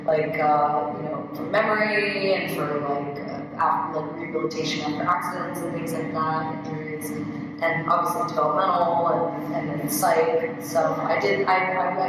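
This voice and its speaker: A young woman with a low speaking voice talks in a chatty, animated way, stacking fillers and restarts. She is picked up from a distance, with heavy reverb from a large gallery. Steady background noise stays audible. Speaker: guest singer and songwriter. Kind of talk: casual panel conversation. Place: art gallery event space.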